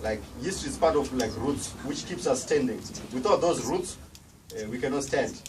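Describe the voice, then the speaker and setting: A man's voice: medium pitch, lively intonation, normal speed. A man giving closing remarks at an event, hesitant, with many fillers. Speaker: event organizer. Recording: distant mic, clear background noise.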